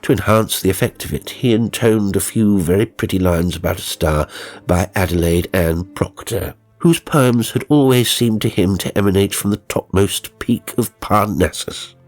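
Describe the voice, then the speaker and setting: A man narrating a classic ghost story in a measured, dramatic manner. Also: fairly low pitch.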